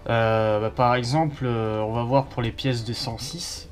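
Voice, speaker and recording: A man's voice: medium pitch, fairly even intonation, measured pace. A man from southwestern France chatting casually to camera as a vlogger, informal and slangy with plenty of swearing. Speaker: car vlogger.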